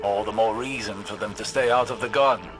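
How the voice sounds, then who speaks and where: A man voicing a calm, commanding male mentor guiding a mission in a video game, over game music. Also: lowish voice, brisk, lightly acted. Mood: stern. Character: stern wise male mentor and mission overseer.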